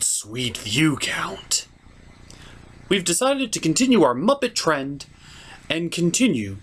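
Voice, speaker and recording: A man's voice: on the high side, a bit bright, slightly rough. A man hosting a scripted comedic review with skits, with a theatrical, exasperated delivery. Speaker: comic reviewer and host.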